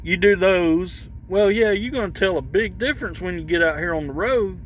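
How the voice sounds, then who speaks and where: A man giving casual, unscripted talk about gear for his vlog, halting and rambling as he searches for words. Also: sits high, a little hiss or hum. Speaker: vlogger showing his CB radio.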